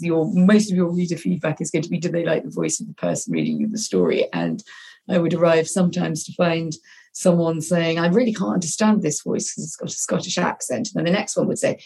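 Woman panel moderator, husky and low, brisk and in charge. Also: darkish tone. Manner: brisk, steering the conversation. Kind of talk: panel discussion.

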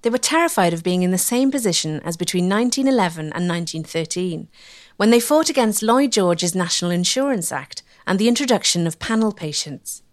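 A woman narrating a history documentary in a measured storytelling manner. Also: on the low side, lively intonation.

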